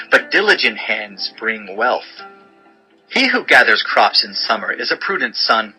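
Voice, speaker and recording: Forceful, sharp-voiced male scripture narrator. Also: medium pitch, poor audio. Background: music bed.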